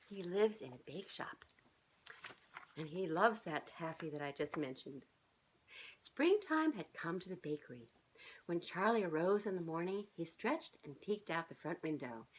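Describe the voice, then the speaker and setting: A middle-aged woman reading a children's storybook aloud in an expressive, sing-song way, as if speaking directly to young listeners. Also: on the low side, slight background noise, low-quality recording. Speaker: children's author reading her own book aloud. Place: small living room, on a couch indoors.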